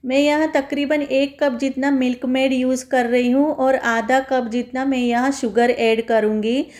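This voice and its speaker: A woman's voice: sits high, little pitch movement, a little slow, darkish tone. A woman home cook giving a step-by-step recipe voice-over, instructing viewers in a friendly, familiar form of address.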